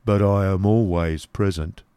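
A man voicing a gloomy, petulant apparition in a storybook reading, with a grim, drawn-out, snarling delivery. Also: low voice, fairly even intonation, warm tone, clear, resonant tone, no room sound. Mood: gloomy, evasive. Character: gloomy, petulant male apparition urging despair.

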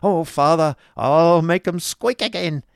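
A man's voice: a little high, a little slow, creaky, no echo, clean studio sound, pitched above the performer's own voice. A man voicing a gleefully cruel young schoolboy, eager and exclaiming, in a storybook reading.

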